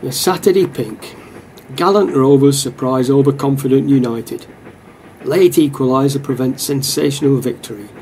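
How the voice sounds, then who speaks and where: A man's voice: medium pitch, moderate pace, darkish tone, close to an everyday voice, rough recording. A man playing a wistful, plain-spoken football supporter in a radio play monologue. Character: wistful male football supporter narrating a train ride home. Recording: train noise behind the voice.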